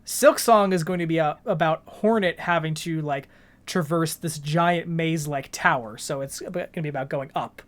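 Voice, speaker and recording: A man's voice: a little high, moderate pace. A man co-hosting a gaming podcast, explaining things earnestly in a casual conversational style. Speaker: podcast co-host.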